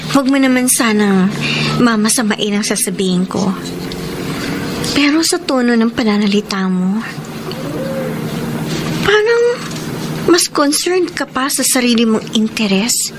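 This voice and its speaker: A woman's voice: on the high side, lively intonation, measured pace, pauses often, background noise. A woman acting an earnest young daughter who tells her story in a Filipino radio drama, with a Filipino accent. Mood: defensive. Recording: music bed under radio drama.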